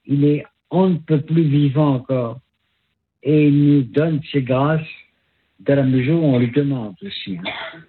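An older male priest answering questions briefly and calmly. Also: fairly high pitch, phone-quality sound. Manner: brief, calm, slow.

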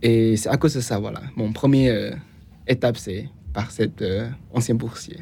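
Young adult male, foreign accent, non-native speaker, relaxed mellow tone, halting interview answers. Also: medium pitch, gravelly, slight background noise. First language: not French, learned it after moving to France. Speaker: student interview guest.

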